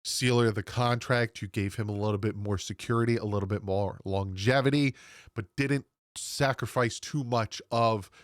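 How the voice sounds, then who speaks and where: A man's voice: fairly low pitch, fairly even intonation, dry recording, clean studio sound. Congested man with a stuffy nasal voice. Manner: rambling, chatty, quick asides to viewers. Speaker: sports channel host. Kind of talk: casual solo sports commentary. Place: home room. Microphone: desk microphone.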